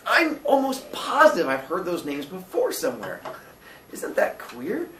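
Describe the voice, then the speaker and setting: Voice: medium pitch, huge pitch swings, unhurried, fairly bright tone, a little hiss or hum, pitched above the performer's own voice. A reader voicing an earnest, polite young Englishman from a story, puzzled and insistent, speaking eagerly with hesitant, emphatic phrasing.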